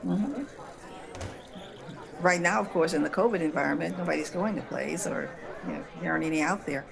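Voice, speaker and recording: A woman's voice: low-pitched, brisk, creaky, crowd noise. A woman speaking as a panelist in a casual discussion, sometimes reading aloud. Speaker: panelist on a holiday discussion show.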